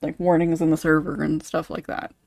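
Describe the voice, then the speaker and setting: A man's voice: fairly high pitch, flat delivery, a little fast, creaky, darkish tone, no room sound. Male podcast host with a dry, close sound. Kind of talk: casual chat.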